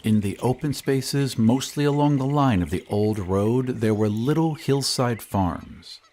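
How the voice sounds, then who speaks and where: A man playing a somber surveyor who recounts an eerie old tale in measured, ominous audio drama narration with hushed phrase endings. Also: mid-range voice, natural voice. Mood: uneasy, hushed.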